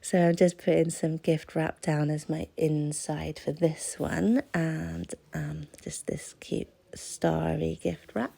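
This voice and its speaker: British lady w a cold doing chatty crafting voiceover, husky n mellow, rambles a bit. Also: deep voice, fairly even intonation, slow, few pauses. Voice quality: congested, stuffy-nosed. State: has a cold. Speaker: craft channel host. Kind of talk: casual craft voice-over, chatty.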